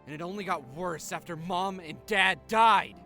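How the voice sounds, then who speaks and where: A man's voice: high-pitched, normal speed, bright, forceful tone, faint music behind. A man acting a furious, exhausted older brother in an audio drama, swearing and accusing explosively. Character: furious, exhausted older brother who raised his sister.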